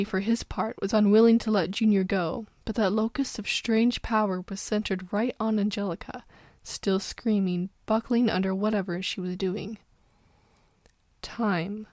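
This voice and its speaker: A woman's voice: mid-range voice, darkish tone, dry recording, clean studio sound. A woman reading a story as dramatic narration, getting over a cold. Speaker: fan fiction narrator.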